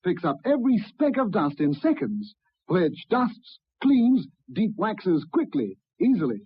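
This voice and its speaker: A woman announces a vintage radio commercial. Her delivery is unhurried and animated, with a husky edge. The recording is dull and lo-fi. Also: mid-range voice. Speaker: advertising announcer.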